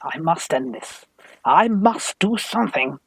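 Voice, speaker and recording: Farce role: man playing an anxious British young gent, earnest, fretful, slightly high voice, dry sound. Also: expressive, a little slow, gravelly, a bit bright, slightly rough, no echo, heavily acted. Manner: worried, earnest. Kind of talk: Victorian stage farce, radio play acting.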